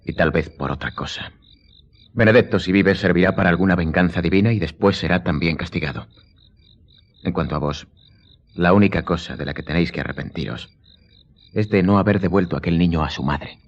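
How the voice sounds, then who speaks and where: An actor playing a grave, commanding nobleman in a radio drama, speaking in a solemn, measured, sententious way. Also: low voice. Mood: stern, judging. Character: grave, commanding count, calm and enigmatic nobleman. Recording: dull lo-fi sound, faint music behind.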